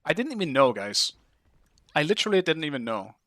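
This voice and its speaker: A man from Denmark with a Danish accent, chatting casually with his live-stream viewers while playing a game, curious and quick to swear. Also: fairly high pitch, sing-song, sharp tone, no echo, studio quality.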